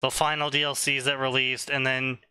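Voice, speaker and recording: A man co-hosting a casual stream, talking slowly and deliberately, often checking "right?". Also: mid-range voice, flat delivery, bright, forceful tone, smooth, no echo. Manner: explanatory, slow and deliberate, asks "right?" often. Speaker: stream co-host.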